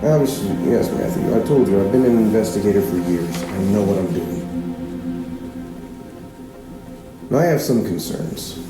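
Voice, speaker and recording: A man playing a patient but firm small-town police chief who questions insistently and with measured pauses, in a naturalistic fiction podcast. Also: on the low side, expressive, lightly acted. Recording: roomy echo, music underneath.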